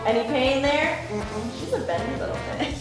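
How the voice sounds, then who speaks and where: A woman with a slightly high voice hosts a playful live demonstration. She teases, exclaims and encourages as she instructs. The room is very echoey and music plays clearly behind her. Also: moderate pace. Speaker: host and instructor.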